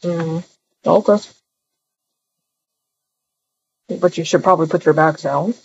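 A woman voicing a calm, quiet young man in a voiced game roleplay, speaking low and mumbled on a dull lo-fi mic. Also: a little fast, creaky, slight background noise. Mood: laid-back. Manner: quiet, mumbled, laid-back.

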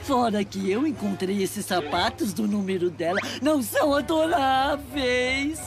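Uma atriz dublando uma esposa e mãe sapa de meia-idade num desenho animado, gentil, compreensiva e conversadeira. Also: female voice, fairly high pitch, lively intonation, a little slow, music in the background. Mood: supportive. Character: gentle, supportive middle-aged cartoon toad wife and mother. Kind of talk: cartoon dub acting.

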